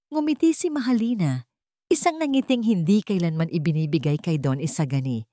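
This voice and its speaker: A woman narrating a scripted dramatic story in a measured, theatrical way. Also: fairly low pitch, darkish tone, no room sound, clean studio sound.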